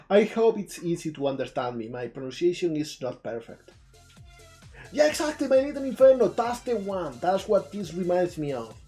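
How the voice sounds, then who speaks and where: Mellow streamer with a southern Spanish accent. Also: medium pitch, big pitch swings, moderate pace. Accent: southern Spanish (Andalusian). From southern Spain. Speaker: live stream host. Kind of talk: casual live commentary on game trailers. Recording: music playing behind the voice.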